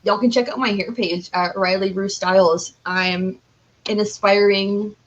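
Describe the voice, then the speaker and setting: A woman's voice: medium pitch, steady tone, unhurried, highs cut off. A young adult American woman chatting casually as a podcast guest, telling stories in a giggly way. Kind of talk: casual chat.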